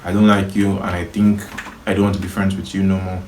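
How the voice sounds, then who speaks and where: A man's voice: low-pitched, level tone, fast talker, a little mellow. A young man chatting casually with his sister, talking in a hesitant, thoughtful way and calling himself shy. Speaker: guest, the host's brother. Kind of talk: casual chat. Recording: roomy echo, faint background noise.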